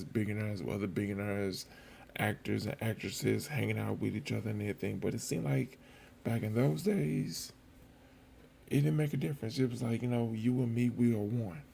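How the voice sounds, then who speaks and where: A man's voice: fairly low pitch, creaky, warm tone. A man giving casual commentary straight to viewers in an informal, colloquial, conversational way. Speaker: host of a boxing footage channel. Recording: faint background noise.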